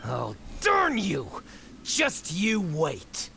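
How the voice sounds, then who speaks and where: Whiny haughty game villain, high voice, wildly swooping, theatrical. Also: male voice, huge pitch swings, extremely slow, gravelly, pushed and bright, soft background music, full character voice. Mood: thwarted. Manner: indignant, theatrical. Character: haughty, petulant foreign villain. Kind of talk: video game voice acting.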